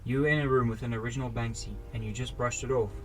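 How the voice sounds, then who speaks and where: A man's voice: medium pitch, steady tone, fast talker, soft background music, natural voice, low-quality recording. A young man playing a scheming, pushy, money-hungry husband in an amateur radio drama. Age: teenager. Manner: pushy and persuasive.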